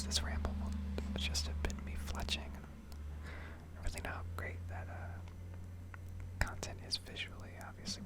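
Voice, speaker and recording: A woman giving an unscripted, casual ASMR commentary on a game, musing aloud to herself in a hesitant way. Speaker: gaming video creator.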